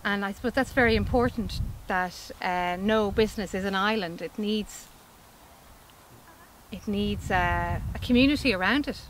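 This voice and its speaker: An upbeat woman in her forties from Ireland speaks outdoors in a vegetable field, chatty and eager, often restarting her sentences. Her voice sits at an ordinary middle pitch. A faint open-air hum and distant traffic sit behind her. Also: moderate pace. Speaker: organic farmer. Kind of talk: outdoor interview. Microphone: camera mic, standing interview.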